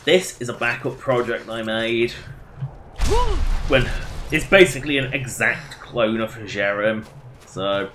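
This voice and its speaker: A man's voice: medium pitch, slow pace, long pauses, a bit bright, slight background noise. A man doing casual live game commentary, rambling and self-deprecating as he chats to his viewers. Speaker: game livestreamer.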